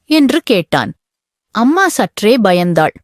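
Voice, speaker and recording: A woman narrating a children's bedtime story in a gentle, expressive storytelling manner. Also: medium pitch, takes it slowly, no echo, clean studio sound.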